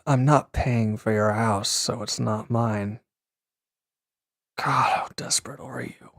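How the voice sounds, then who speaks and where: A man acting a gruff, guarded former villain in a roleplay audio drama, curt and grumbling, reluctant to lean on anyone. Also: lowish voice, no room sound, clean studio sound. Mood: exasperated. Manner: curt, grumbling, defensive, with sighs and hesitant breaks. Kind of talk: scripted roleplay audio drama addressed to the listener.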